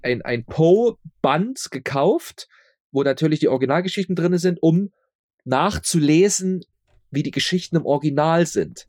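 A man with a moderately high voice talks as a guest in a casual interview. He explains things in a halting way, doubling back on words. The recording is close and dry, free of echo. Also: expressive, darkish tone. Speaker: audio drama maker being interviewed. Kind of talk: casual conversational interview answers.

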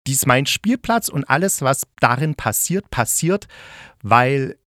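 Male review podcast host, average pitch, enthusiastic and conversational, emphatic delivery, very dry recording. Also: lively intonation, unhurried, fairly bright tone, no room sound. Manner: colloquial, emphatic, opinionated. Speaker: podcast host and radio drama critic.

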